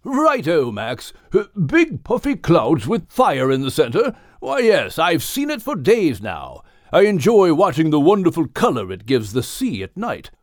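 A man's voice: on the high side, huge pitch swings, unhurried, hardly any pauses, no room sound, studio quality. Guy putting on a polite posh english whale, voice swoops all over the place. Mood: delighted. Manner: formal, cheerful, posh phrasing. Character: genteel male right whale, distinguished and courteous.

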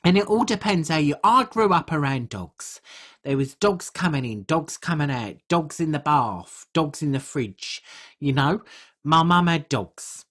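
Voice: medium pitch, lively intonation, a little slow, a bit bright, breathy edge. A podcast co-host, chatting casually and comically in a rambling way with colloquial, non-standard grammar.

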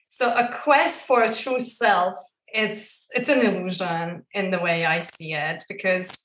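Woman from croatia, interview guest, halting and reflective, echoey room, set back from the mic. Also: medium pitch, fairly bright tone, far from the mic. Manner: searching, halting, many fillers and restarts.

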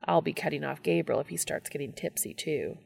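Audiobook role: informal male baker, voiced by a narrator, a bit awkward, heavy vocal fry, long reverb. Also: mid-range voice, fairly quick. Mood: casual. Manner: colloquial, hesitant, informal. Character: casual, easygoing, slightly awkward man, a baker.

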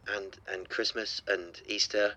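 Bright-voiced, awkward teenage boy. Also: lowish voice, steady tone, low-quality recording. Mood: shy, tender. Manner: hesitant, stammering, halting. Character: awkward, tender teenage schoolboy, shy and unsure. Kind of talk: naturalistic audio drama dialogue.

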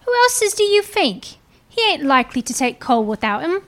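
A woman's voice: high voice, sing-song, normal speed, a bit bright. A woman playing a brazen, defiant Victorian thief in a live dramatic reading. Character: brazen, defiant Victorian thieving woman selling a dead man's goods.

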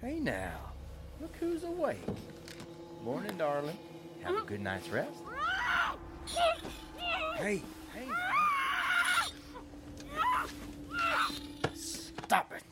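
A menacing kidnapper drawls, slow and soothing yet threatening, a man acting him out. His pitch swoops widely from low murmurs to sudden highs. The recording carries steady background noise. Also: shouting, full character voice.

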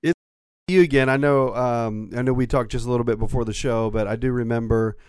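A man co-hosting a podcast, asking questions in an earnest, measured way. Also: medium pitch, steady tone, a little mellow, clean tone, no room sound, studio quality. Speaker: podcast co-host. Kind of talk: casual podcast interview.

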